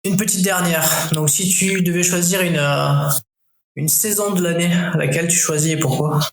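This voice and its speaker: A man hosting a podcast, asking interview questions in a casual, relaxed way. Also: on the high side, normal speed. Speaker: podcast host. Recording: roomy echo, faint noise.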